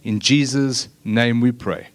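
Pastor dude doing church announcements then a quick prayer, laid back, ordinary voice. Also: male voice, medium pitch. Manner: friendly and easygoing, then earnest in prayer. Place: church stage.

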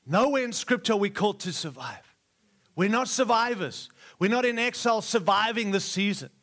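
Man, middle-aged, preacher, fairly high voice, rousing, repeats phrases. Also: lively intonation, normal speed, a bit bright. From Australia. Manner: exhortative, repeats phrases, jokes with congregation. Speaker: guest preacher. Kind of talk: sermon to a live church.

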